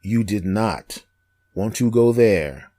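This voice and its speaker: A man in his fifties from Philadelphia, chatting as a comedian guest and telling animated, joking stories. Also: lowish voice, dry recording. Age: 50s. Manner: animated joking storyteller, quick asides. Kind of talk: casual chat.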